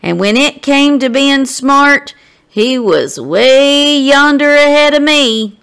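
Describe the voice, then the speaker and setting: A woman voicing a folksy ten-year-old frontier boy, a proud but cautious friend in a storybook, exclaiming in drawn-out phrases. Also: high voice, creaky, fairly bright tone, voice pushed up, exaggerated voice. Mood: proud, admiring.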